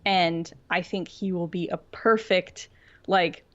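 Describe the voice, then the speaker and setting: Lowish-voiced woman just chatting on a podcast, gushy and informal, bit muffled recording. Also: steady tone, takes it slowly. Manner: gushing, informal, frequent "like". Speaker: podcast co-host. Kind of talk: casual chat.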